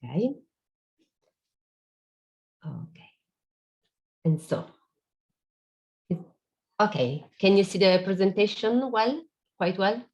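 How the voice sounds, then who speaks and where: A woman's voice: medium pitch, animated, darkish tone. A woman giving a formal, polite conference talk over a video call. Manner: careful, polite, measured delivery. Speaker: conference speaker. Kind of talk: formal online presentation. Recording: dull lo-fi video call audio.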